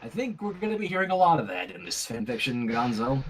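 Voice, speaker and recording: A man reading fan fiction aloud in an animated style, with casual asides. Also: medium pitch, fast, breathy edge.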